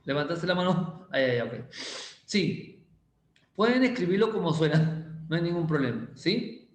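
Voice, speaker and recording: Playful high-voiced teacher, slow and lively. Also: male voice, a little hiss or hum. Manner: playful, teasing, repeats questions slowly. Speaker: chemistry teacher. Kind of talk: casual online class with quiz questions. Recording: roomy echo.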